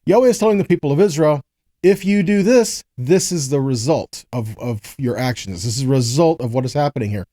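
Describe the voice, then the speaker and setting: A man's voice: medium pitch, fairly quick, mellow, no echo, clean studio sound. An American man giving a conversational sermon as a Bible teacher, often posing rhetorical questions and checking in with listeners. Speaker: preacher teaching a scripture lesson. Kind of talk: conversational sermon with scripture readings.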